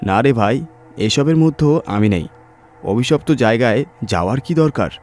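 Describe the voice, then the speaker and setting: A jittery young man, put on by a male storyteller, pitched up high and protesting anxiously. He is the reluctant friend in a spooky audio tale, with music behind him. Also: animated, moderate pace, darkish tone, lightly acted. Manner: alarmed protesting.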